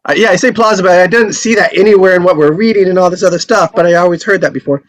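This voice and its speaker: A man with a slightly high voice hosts an online table read and chats casually. He hesitates a lot and fills gaps with "um", and his voice sounds dry and close to the mic. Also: very fast, no room sound. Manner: hesitant, lots of fillers. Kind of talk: casual chat.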